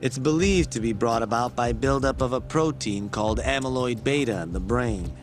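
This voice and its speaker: An actor playing a patient, earnest medical expert who explains things in a measured, articulate, didactic way in a video game. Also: male voice, mid-range voice, natural voice. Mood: pleased, instructive. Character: learned male medical expert, patient, earnest, wryly teasing lecturer. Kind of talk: English video game dub, explanatory dialogue. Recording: background music under the voice.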